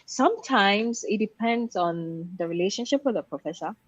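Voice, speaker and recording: A woman's voice: medium pitch, expressive, normal speed, husky, dry recording. Woman explaining over slightly dull, dry audio. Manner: explanatory, politely interjecting. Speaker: panel speaker. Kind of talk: casual discussion.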